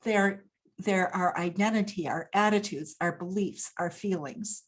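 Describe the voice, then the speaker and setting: A woman from Japan speaking as an expert guest, explaining points deliberately and emphatically, posing questions and answering them. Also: fairly low pitch. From Japan, grew up there. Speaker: interview guest, business expert. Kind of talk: explanatory interview talk.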